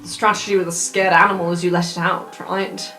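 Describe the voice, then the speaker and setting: A woman's voice: fairly low pitch, little pitch movement, a little fast, bright, forceful tone. A woman playing a tabletop game, talking casually and improvising. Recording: music clearly underneath.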